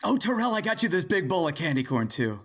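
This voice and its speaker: A man's voice: sits high, sing-song, quick pace, heavy background noise. A man playing a gushing, shallow, chummy rich party guest in a horror-comedy audio drama, effusive and gossipy.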